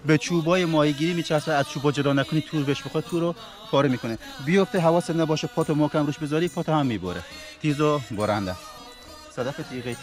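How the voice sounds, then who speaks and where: Fisherman, loud music behind. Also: on the high side. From southern Iran. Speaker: local fisherman and boat captain. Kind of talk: unscripted explanation to camera. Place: outdoors on a tidal seashore. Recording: music over the voice.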